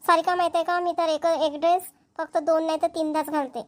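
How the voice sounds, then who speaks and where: A woman's voice: very high voice, little pitch movement, brisk. A woman voicing a chatty, fussy young village girl in a cartoon comedy, with a Vidarbha Marathi accent. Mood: chatty, teasing.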